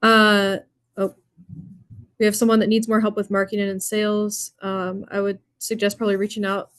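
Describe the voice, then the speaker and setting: Steady, flat-toned webinar moderator woman. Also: mid-range voice, moderate pace, no echo, clean studio sound. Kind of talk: moderating and reading out questions.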